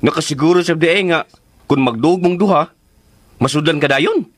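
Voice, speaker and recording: A man's voice: on the high side, moderate pace, no room sound, natural voice. A man acting a folksy, inquisitive uncle who meddles kindly, in a Filipino radio drama with a Filipino accent. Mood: curious.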